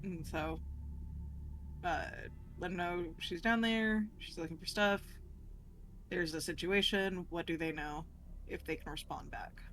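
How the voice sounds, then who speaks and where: A woman's voice: on the low side, measured pace, a bit bright. A woman playing a tabletop role-playing game, talking through her moves in casual game-table chat.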